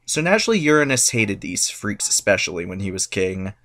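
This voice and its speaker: A man's voice: fairly low pitch, very animated, a little fast, a bit bright. A man giving a comic dramatic reading of myths, sarcastic and irreverent. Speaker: narrator.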